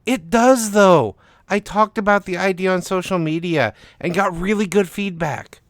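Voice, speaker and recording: A man's voice: high-pitched, moderate pace, no echo, clean studio sound, pitched above the performer's own voice, lightly acted. A man voicing a stubborn, eager male novelist who pleads insistently for his idea in an audio story. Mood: eager, defensive.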